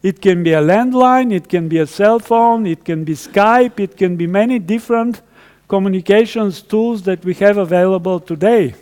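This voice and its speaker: A man's voice: high-pitched, moderate pace, a little mellow. A man from Bulgaria in his fifties giving a conversational technical tutorial lecture, explaining with everyday stories. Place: conference hall. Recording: long hall reverb.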